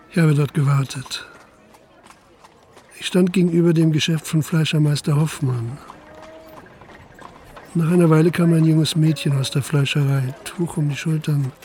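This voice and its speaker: A persistent male police investigator in a radio play, acted by a man, with a slightly high voice. He questions and reports methodically and insistently, over faint noise of an urban outdoor scene. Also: fairly even intonation, a little slow, long pauses, darkish tone, close to an everyday voice. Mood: matter-of-fact. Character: persistent, probing male police investigator narrating his inquiry. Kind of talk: German radio drama acting, interrogation and narration.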